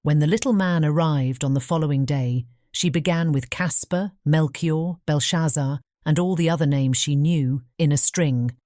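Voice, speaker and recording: A female storybook narrator with a British English accent. Her voice is low and mellow, and the recording is close and very dry as she reads the scripted tale. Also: normal speed, few pauses, dry recording.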